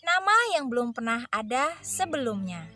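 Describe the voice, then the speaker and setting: Woman, teacher, kid-friendly narration, high voice, music underneath. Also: very animated, moderate pace. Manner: addresses children warmly as friends. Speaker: kindergarten teacher. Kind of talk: story reading for children.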